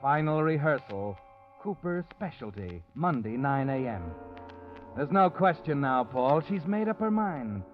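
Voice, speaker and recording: A man's voice: mid-range voice, big pitch swings, unhurried. A man narrating an old radio thriller in the second person, ominous and insinuating. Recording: dull old radio recording with music.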